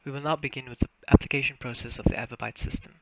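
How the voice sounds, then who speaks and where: Creaky-voiced young South African man, muffled mic. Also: mid-range voice, fairly even intonation, racing, music in the background. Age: young adult. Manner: formal, step-by-step explaining. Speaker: student software developer presenting a demo. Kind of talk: narrated software walkthrough. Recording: muffled lo-fi mic, faint noise, clicks.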